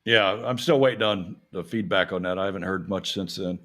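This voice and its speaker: A man's voice: low-pitched, a little fast, a bit bright. An older man with a Texan accent, co-hosting a casual chat and telling stories with some stumbles. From Texas. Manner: storytelling, stumbles on repeated words. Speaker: co-host.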